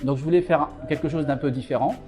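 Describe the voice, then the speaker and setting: A man's voice: fairly high pitch, fast, over music. A middle-aged man from Algeria, a psychiatrist and author, answering interview questions with many fillers and restarts.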